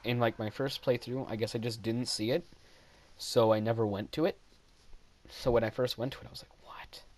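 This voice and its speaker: A man's voice: medium pitch, steady tone, normal speed, darkish tone. A young man casually commenting over his own gameplay footage, rambling and self-deprecating, often laughing at his own jokes.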